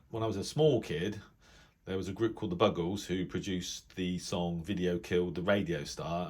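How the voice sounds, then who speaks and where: A man's voice: fairly low pitch, a bit bright. A middle-aged man with a British accent talks casually and without a script as he presents a hobby video, hesitating and thinking aloud as he goes. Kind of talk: casual unscripted commentary while browsing a reference book.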